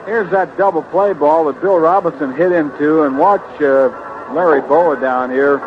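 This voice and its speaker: A man's voice: fairly high pitch, moderate pace. A man giving baseball commentary and recaps as a broadcaster, in a conversational, folksy manner. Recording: dull vintage broadcast with background noise.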